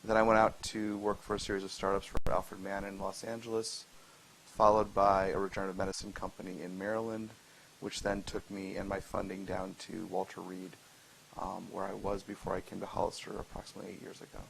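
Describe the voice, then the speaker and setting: Low, gravelly, very flat-toned man. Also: fairly quick, poor audio. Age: 40s. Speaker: panelist, industry scientist. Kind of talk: self-introduction at a panel discussion. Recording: faint hiss and noise.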